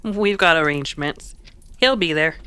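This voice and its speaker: A woman's voice: sits low, moderate pace, pushed and bright, clear, resonant tone, dry recording. An actress playing a plucky, practical saloon woman in an Old West radio drama, plainspoken and folksy. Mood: guarded. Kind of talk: old-time radio western acting.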